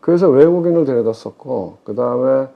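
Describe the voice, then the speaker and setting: A man's voice: medium pitch, expressive, brisk, gravelly. A middle-aged man talking as an expert guest in a conversational interview, emphatic and often answering his own questions, with slightly muffled sound. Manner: emphatic, posing questions and answering them himself. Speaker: guest expert, former pension fund chief investment officer. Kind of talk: conversational interview commentary on public finance.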